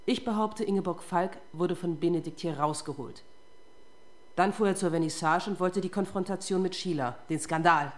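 A woman's voice: fairly low pitch, moderate pace, lightly acted. An actress playing a tough, relentless female police detective in a German radio crime drama, confrontational and sardonic. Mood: accusing.

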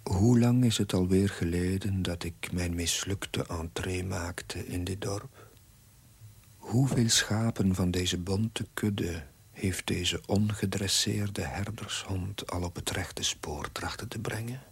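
An actor playing a pensive, self-doubting village curate, narrating his diary in a measured, hushed way in a TV period drama. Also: low-pitched, breathy edge, no echo, exaggerated voice. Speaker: narrator, a young village priest.

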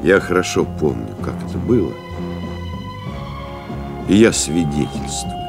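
An actor playing a pilot who recalls a lost comrade, reading solemnly, expressively and in measured literary phrases. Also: male voice, a bass voice, sing-song, gravelly, full character voice. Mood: solemn, reflective. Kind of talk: dramatic literary reading in an audio play. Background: music behind the voice.

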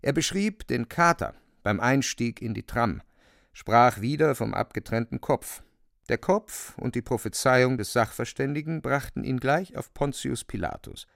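A man narrating a literary radio play in a deliberate, expressive storytelling manner, close to the microphone. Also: medium pitch, vocal fry, no room sound.

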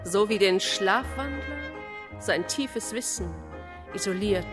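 Slow, solemn female narrator. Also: medium pitch, lively intonation, over music. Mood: solemn, intense. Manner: measured, broken into deliberate phrases. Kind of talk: solemn, poetic radio play narration.

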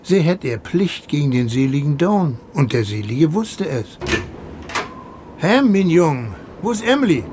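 An actor playing a kindly, plain-spoken older seafaring man in a German radio play, with a homely, deliberate manner. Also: fairly high pitch, big pitch swings, a little mellow, slight background noise. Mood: consoling.

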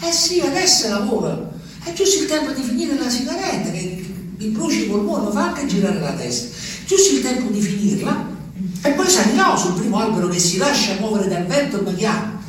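A wistful old peasant. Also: male voice, very high voice, sing-song, fairly quick. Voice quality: musing, talks to the moon, rambling. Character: old lonely Abruzzese peasant man, wistful. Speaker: stage actor. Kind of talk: theatrical monologue. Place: theatre stage. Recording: distant stage recording with reverb and faint noise.

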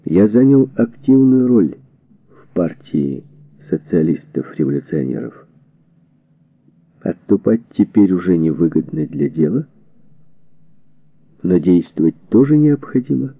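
A man reading a historical book as a scripted narration, in measured, deliberate phrases. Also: deep voice, animated, breathy edge, dry recording. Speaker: audiobook narrator. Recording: narrow phone-like band, radio-like sound.

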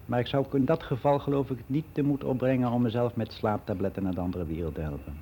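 A man's voice: mid-range voice, quick pace, mellow, a little hiss or hum, natural voice. An actor playing a candid, reflective older man, confiding matter-of-factly in a conversational radio drama monologue. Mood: sober, reflective. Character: candid, reflective ageing man, worldly and unbelieving.

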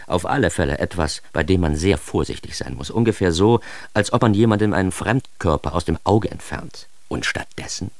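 A naive, bookish young man tells his story in a low voice, close and dry. He is wry and self-mocking, with comic earnestness and careful wording, in a radio play. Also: no room sound. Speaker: first-person narrator. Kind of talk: radio drama narration.

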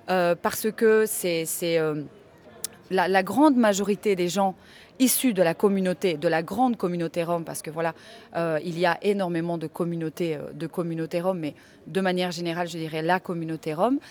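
A woman from North Macedonia, answering interview questions earnestly and haltingly, with many fillers. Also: lowish voice, a little mellow. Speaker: activist guest.

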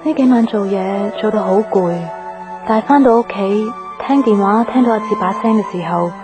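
A woman's voice: mid-range voice, normal speed, natural voice. A woman acting a lonely, doubtful young girlfriend in a reflective radio drama monologue, with a Hong Kong accent. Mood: wistful. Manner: reflective, melancholy. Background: music.

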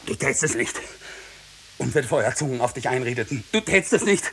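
An actor playing a tormented condemned farmer wrestling his conscience, halting and intense, in 1950s German radio drama style. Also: medium pitch, animated, a bit bright, husky, exaggerated voice.

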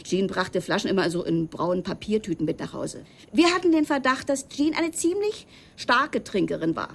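A troubled female witness, creaky voice. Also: medium pitch, expressive, moderate pace. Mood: confiding, insistent. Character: former landlady recounting old memories and a painful secret. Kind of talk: German radio drama acting.

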